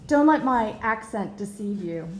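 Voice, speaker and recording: A woman in her thirties from Bosnia and Herzegovina, telling a personal story in an earnest, measured way with soft hesitations. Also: medium pitch, animated, roomy sound, slight background noise. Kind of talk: personal story told to an audience.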